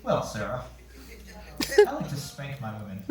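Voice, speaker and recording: A man's voice: mid-range voice, wildly swooping pitch, moderate pace, over loud music. A man in a staged play reading, playing a sly, lecherous single man on dates with a sardonic, theatrical manner. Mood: lustful, gleeful. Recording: echoey theatre, far mic, faint noise.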